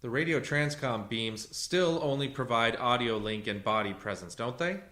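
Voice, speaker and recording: An actor playing a calm, shrewd fugitive leader and technician in a radio science fiction drama, with a measured, dry, authoritative manner. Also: male voice, mid-range voice, close to an everyday voice. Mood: focused, dry.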